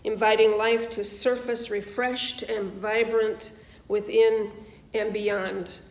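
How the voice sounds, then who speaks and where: A woman minister giving an offertory reading with deliberate, solemn phrasing and pauses. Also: medium pitch, flat delivery. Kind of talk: offertory reading in church service. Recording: echoey room.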